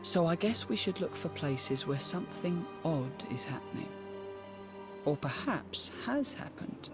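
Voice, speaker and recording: A woman playing a calm, inquisitive companion warrior in a video game, speaking in a thoughtful, plain, questioning way. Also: low voice, unhurried. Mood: curious, thoughtful. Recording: music under the voice.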